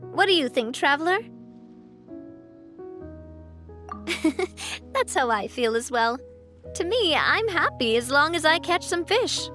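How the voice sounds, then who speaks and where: Casting: cheerful yet reflective young game heroine, gracious and earnest, high-pitched. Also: female voice, expressive, a little slow, sharp tone, soft background music, heavily acted. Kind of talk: video game voice acting.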